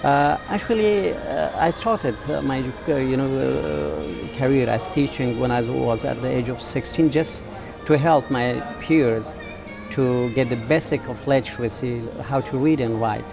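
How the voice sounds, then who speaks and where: Earnest Afghan man, halting speech. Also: mid-range voice, vocal fry, music in the background. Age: 40s. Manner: earnest, halting, many drawn-out fillers. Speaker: teacher and school founder. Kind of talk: interview answers.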